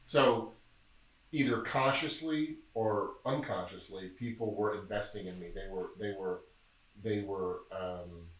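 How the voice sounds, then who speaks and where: A middle-aged man from Memphis speaks in a lowish, easygoing voice and tells stories with a dry joking streak. He sounds set back from the mic in an echoey room. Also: a little slow, far from the mic. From Memphis, Tennessee. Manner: relaxed, joking, storytelling. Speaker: podcast guest, film producer. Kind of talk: casual interview chat.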